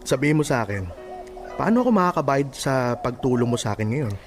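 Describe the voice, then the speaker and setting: A man acts out a reserved, conservative young man in a radio drama. His pitch sits in the middle, and faint music plays under the voice. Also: sing-song, quick pace, close to an everyday voice. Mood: earnest. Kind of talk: Filipino radio drama acting.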